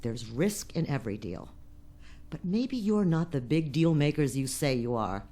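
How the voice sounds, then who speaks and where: A woman playing a tough, shrewd, impatient boss with shady deals in a science fiction radio drama, forceful and sardonic. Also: sits low, expressive, moderate pace. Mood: cool, persuasive.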